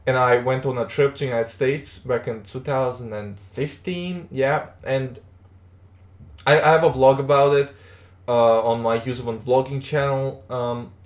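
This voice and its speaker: A young man from Latvia talks to his stream in a loose, rambling way, often restarting his sentences. His voice sits at an ordinary middle pitch. The recording carries a noticeable roomy echo. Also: steady tone, a little slow. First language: Russian. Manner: rambling, chatty, many restarts. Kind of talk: casual live-stream chat while gaming.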